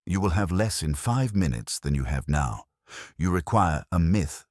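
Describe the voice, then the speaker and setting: An imperious older detective as a male narrator plays him, with an extremely low voice and a dry, close sound. He hands down formal, measured judgments in a stern, unhurried way, as in an audiobook mystery. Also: no echo. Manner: formal, measured, clipped pronouncements.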